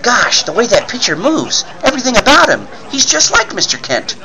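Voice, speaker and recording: Excitable, squeaky-bright young cub reporter. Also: male voice, a little fast, exaggerated voice, low-quality recording. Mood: awed, pleading. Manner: earnest, boyish, protesting. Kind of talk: 1940s radio acting. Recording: crowd noise behind the voice.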